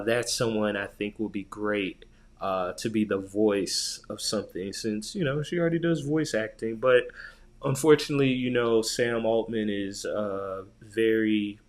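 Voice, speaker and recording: A man co-hosting a podcast in casual chat, talking in a slangy, joking, rambling way. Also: on the low side. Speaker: podcast co-host.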